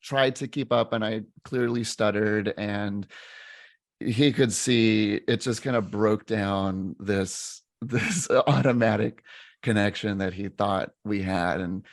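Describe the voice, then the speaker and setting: Earnest low-voiced man telling a story. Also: vocal fry, dry recording, studio quality. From United States. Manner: earnest, halting, with many restarts. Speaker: teacher and podcast co-host. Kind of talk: reflective storytelling in casual chat.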